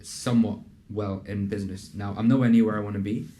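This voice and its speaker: A young man hosting a casual interview and pitching his program in an earnest, conversational way, with plenty of fillers. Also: steady tone, fast, mellow. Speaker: host and program founder. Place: in a building in a city business district.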